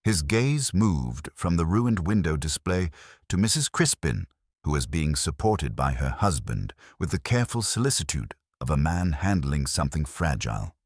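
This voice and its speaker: A man narrating a scripted mystery story in a measured, literary way with dry irony. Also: a bass voice, very animated, no echo. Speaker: audiobook narrator.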